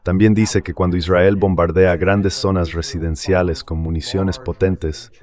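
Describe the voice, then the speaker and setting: Very low-voiced male news reporter. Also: little pitch movement, unhurried, darkish tone. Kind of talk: scripted news report narration.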